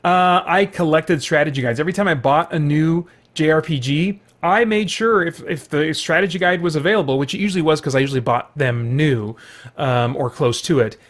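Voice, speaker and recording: Casual man with a slightly high voice. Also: fairly quick. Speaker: host of a video series. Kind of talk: casual rambling monologue about video games.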